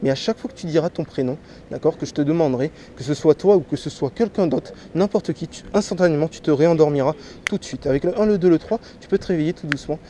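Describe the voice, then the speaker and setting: A man from Martinique with a slight head cold, working as a street hypnotist. He gives a volunteer slow, repeated commands that drop soft and low. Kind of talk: stage hypnosis patter with a volunteer. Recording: noisy crowd around the mic. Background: chattering crowd.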